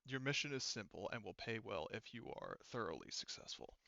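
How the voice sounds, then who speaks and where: A man voicing a calm, sinister criminal mastermind who gives measured, menacing orders in a tabletop roleplaying game. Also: fairly low pitch, creaky, no echo, dull audio, pitched below the performer's own voice, exaggerated voice.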